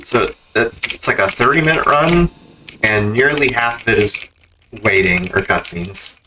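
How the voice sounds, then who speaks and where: A man's voice: mid-range voice, animated, measured pace, pushed and bright, low-quality recording. A man giving casual gameplay commentary as a speedrun streamer. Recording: keyboard clicks and background noise.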